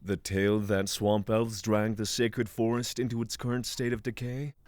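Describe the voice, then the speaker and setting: A man's voice: lowish voice, little pitch movement, normal speed, no room sound, natural voice. A man playing a courteous, skeptical spiritual traveller in a fantasy audio drama, with formal, dryly inquisitive phrasing.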